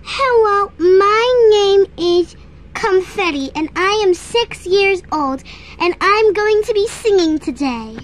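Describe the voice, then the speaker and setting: A sweet, chirpy six-year-old girl, as a woman puts her on, introduces herself in a very high, slow, quavering little voice. Faint room noise hangs behind her on a home camera mic. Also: animated, full character voice. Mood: eager.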